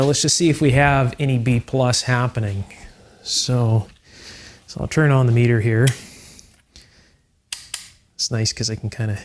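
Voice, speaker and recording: A man hosting an electronics restoration video, explaining each step calmly and methodically, with frequent fillers. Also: medium pitch. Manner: calm, methodical, with fillers like "uh" and "you know". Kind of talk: hands-on technical explanation.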